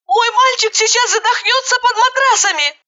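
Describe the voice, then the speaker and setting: Woman acting a frantic, high-pitched, sharp-tongued woman. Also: moderate pace, dull audio. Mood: screaming. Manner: shrieking, exclamatory, indignant.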